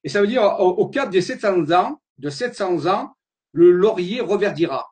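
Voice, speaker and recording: A man's voice: high-pitched, animated, moderate pace, darkish tone. A man giving an earnest slide-show lecture, wandering from point to point and often repeating his words as he searches for them.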